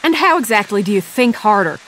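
A young woman in a dramatic video game voiced by an actress, guarded and dryly sassy. She has a mid-pitched voice and a sardonic deadpan delivery, with faint hiss and rain behind her. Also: brisk, natural voice. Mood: playful deadpan. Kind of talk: naturalistic dramatic game dialogue.

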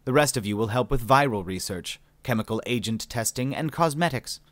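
A man reading an audiobook, voicing a calm, condescending male alien scientist with polite, mocking, unhurried speech. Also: mid-range voice, dry recording, natural voice. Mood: smug, condescending.

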